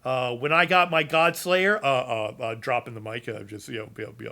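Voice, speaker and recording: A man's voice: medium pitch, fairly even intonation, moderate pace, sharp tone. A man chatting casually about game builds, rambling with many fillers and restarts, and losing his voice. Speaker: gaming content creator.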